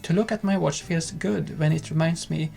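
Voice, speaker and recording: A man co-hosting a lifestyle vlog, speaking calmly and unhurriedly. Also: on the high side, normal speed, vocal fry, soft background music. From Sweden. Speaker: vlog co-host. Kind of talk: casual chat and sponsor read.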